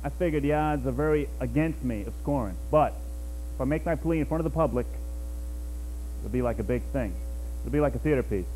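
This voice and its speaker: A man's voice: mid-range voice, brisk, mellow, slight background noise, low-quality recording. A man from New York doing a rambling, self-mocking stand-up routine, talking to the crowd on a small cafe stage. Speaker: stand-up comedian.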